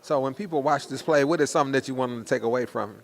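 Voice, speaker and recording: A man's voice: mid-range voice, rapid-fire, vocal fry. An American man doing casual promotional interviews in a slangy, hyped, conversational way.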